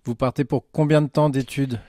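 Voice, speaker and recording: A man's voice: mid-range voice, fairly even intonation, fairly quick, dark tone, no echo, studio quality. A man hosting an interview and asking questions in a friendly, conversational way. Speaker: radio interviewer.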